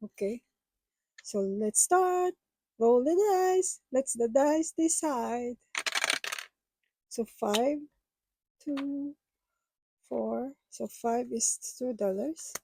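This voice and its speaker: A woman whose first language is Filipino chats casually with viewers as a budgeting vlogger, speaking in a halting, hesitant way. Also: fairly high pitch, very noisy.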